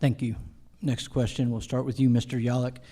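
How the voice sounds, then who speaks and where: A man's voice: medium pitch, level tone, a little fast, dark tone. An American man moderating a forum, reading out prepared questions to the candidates.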